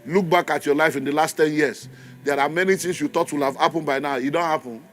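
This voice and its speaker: A man's voice: fairly high pitch, fairly even intonation, fast talker, a little hiss or hum. Emphatic Nigerian preacher in his fifties. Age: 50s. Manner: emphatic, repeats key phrases, leads call and response. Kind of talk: sermon. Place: church.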